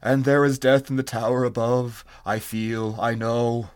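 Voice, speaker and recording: A man's voice: mid-range voice, little pitch movement, measured pace, clear, resonant tone, natural voice. A man voicing an ancient blind, tortured alien creature from a fantasy story, sorrowful and solemn, in grave archaic speech.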